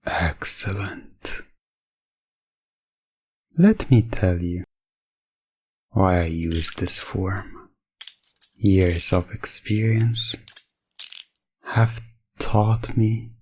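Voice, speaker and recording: A man performs as a composed male professional dominant for an ASMR hypnosis audio roleplay. The voice is low and breathy, and every phrase comes extremely slowly and deliberately. The delivery stays formal and detached, recorded very dry and close. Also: no room sound, full character voice. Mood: calm, assured. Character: calm, businesslike male professional dominant addressing a client.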